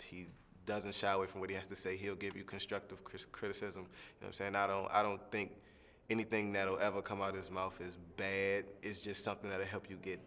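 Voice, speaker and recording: Deep-voiced, flat-toned young man. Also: big-room sound. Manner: relaxed, rambling, many fillers. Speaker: singing contestant. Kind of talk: press junket soundbite interview.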